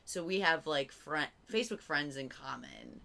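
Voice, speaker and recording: A woman's voice: sits low, lively intonation, creaky, pushed and bright. A young woman from Virginia with a Southern accent, chatting and joking playfully and laughing often. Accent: Southern American. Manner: giggly, playful, quick to laugh. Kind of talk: casual comedic chat.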